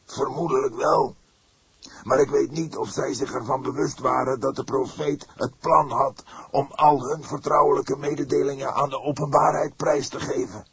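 A man's voice: mid-range voice, fairly even intonation, moderate pace, pitched above the performer's own voice, close to an everyday voice. A man voicing an indignant, solemn father of the church in an audiobook, speaking with emphatic, formal deliberation. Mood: guarded, solemn. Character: fierce, pained elderly pastor, indignant and solemn. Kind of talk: audiobook character voice.

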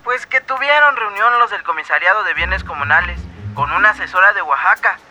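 A dutiful henchman in a radio drama. His voice is high and very sharp, piercingly bright, as he reports deferentially, with faint noise behind him. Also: expressive, a little fast, full character voice. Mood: worried reporting. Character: dutiful male informant reporting to his boss.

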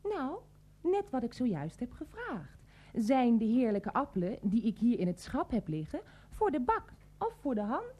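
Casting: prim, moralizing shopkeeper for radio comedy, wordy and insistent, high soft-edged voice with big swoops. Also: female voice, big pitch swings, moderate pace, breathy edge. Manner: verbose, formal, lecturing. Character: pedantic, insistent greengrocer saleswoman who moralizes at customers. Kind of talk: comic radio play acting.

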